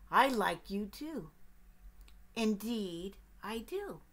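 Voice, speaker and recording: A big, patient bear who is a little grumpy and speaks quietly and wearily, as a woman puts him on for a storybook read-aloud. His lines come slowly, dropping into a subdued hush. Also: sing-song, exaggerated voice. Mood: weary, soft. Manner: quiet, weary, low-key.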